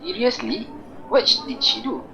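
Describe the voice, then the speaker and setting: A man's voice: extremely high pitch, normal speed, vocal fry. A man playing a frank, reasonable best friend in an amateur radio drama, candid and informal. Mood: surprised. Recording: dull, slightly noisy recording.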